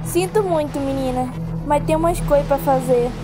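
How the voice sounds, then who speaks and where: A Brazilian woman voicing a blunt, resolute female pony in a dramatic animated fan dub, firm and solemn. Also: sits high, normal speed, close to an everyday voice. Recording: loud music under the voice.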